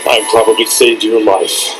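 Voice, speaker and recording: An actor playing a gruff, cynical rebel soldier in fantasy film dialogue. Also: male voice, on the low side, flat delivery, normal speed, close to an everyday voice. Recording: distant film audio under loud music.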